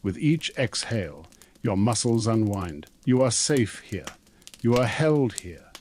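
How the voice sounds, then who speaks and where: A man narrating a scripted guided sleep meditation in a slow, soothing, gentle manner. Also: lowish voice, big pitch swings, slight background noise.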